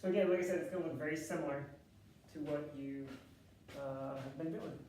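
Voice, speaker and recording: Loud man lecturing in an echoey classroom. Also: on the high side, fairly even intonation, fairly quick, slight background noise. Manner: casual and chatty, thinks aloud with fillers and self-corrections. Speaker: math instructor. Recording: echoey classroom, distant mic.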